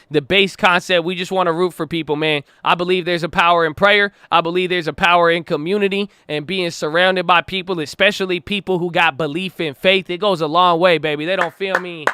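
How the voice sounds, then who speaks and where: A man hosting a trading channel, talking in an excitable, slangy, casual way. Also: high voice, clean tone, no room sound, studio quality. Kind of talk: casual hyped vlog talk.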